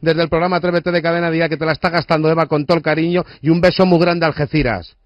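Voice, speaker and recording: Un hombre que presenta un programa de bromas en la radio y habla con tono informal y cariñoso. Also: male voice, on the high side, steady tone, rapid-fire, no room sound. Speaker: radio prank show host. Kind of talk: playful radio prank reveal. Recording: dull lo-fi radio sound.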